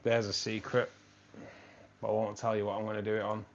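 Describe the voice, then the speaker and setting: A man's voice: on the low side, monotone, brisk, muffled recording, a little hiss or hum. A man hosting a live stream from a room with fish tanks, chatting casually and rambling, often repeating himself.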